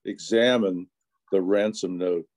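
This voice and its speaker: Male narrator, lowish and very unhurried, old-time radio storytelling, noisy recording with clicks. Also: steady tone, darkish tone, no room sound.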